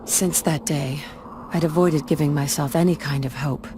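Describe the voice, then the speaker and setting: A woman's voice: low-pitched, fairly even intonation, moderate pace, close to an everyday voice. A woman acting a haunted, determined warrior in a video game, curt and intense with a defiant edge. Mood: resolute, reflective. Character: embittered, determined female warrior, haunted but resolute. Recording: clear background noise and ambience.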